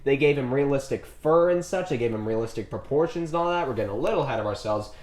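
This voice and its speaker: A man co-hosting a casual film discussion, talking in a halting, emphatic and opinionated way. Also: medium pitch, lively intonation, darkish tone. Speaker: podcast co-host.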